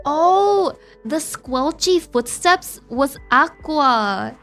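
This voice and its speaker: A young woman streamer chats with her viewers in a bright, highish voice, quick to laugh and get excited. Faint game music plays quietly in the background. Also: creaky. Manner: excitable, giggly, chatty with viewers. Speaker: VTuber streamer. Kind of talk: casual game-stream commentary.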